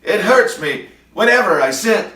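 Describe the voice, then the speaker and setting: A woman's voice: mid-range voice, animated, a little slow, fairly bright tone, reverberant, recorded from a distance, pitched above the performer's own voice, low-quality recording. An older-sounding man voicing a bashful, timid wife who stammers when nervous, in a recited comic ballad. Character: bashful middle-aged wife with a sore boil.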